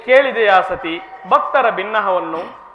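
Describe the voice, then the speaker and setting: A man's voice: high-pitched, expressive, unhurried, dull audio. A man playing a benevolent supreme god, speaking in formal, stately, authoritative mythological stage declamation. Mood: calm, stately. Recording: roomy echo with faint music.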